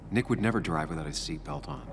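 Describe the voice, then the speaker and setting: An actor playing a brooding, methodical investigator in a video game, thinking aloud in a deliberate, wary way. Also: male voice, a bass voice, background noise. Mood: pensive, suspicious. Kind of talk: video game acting, introspective monologue.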